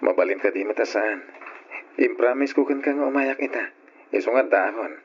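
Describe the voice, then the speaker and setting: A man's voice: lowish voice, moderate pace, husky. An actor with an Ilocano accent playing a devoted, polite young working man in love, in an old radio drama. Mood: attentive, tender. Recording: muffled, noisy old radio drama recording.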